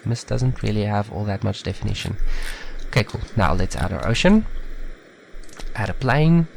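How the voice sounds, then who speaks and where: A man with a slightly nasal, stuffed-up voice from a head cold, giving a relaxed, chatty step-by-step software tutorial. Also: on the low side, moderate pace, gravelly, a little hiss or hum, low-quality recording. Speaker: tutorial maker. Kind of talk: casual screen-recorded software tutorial.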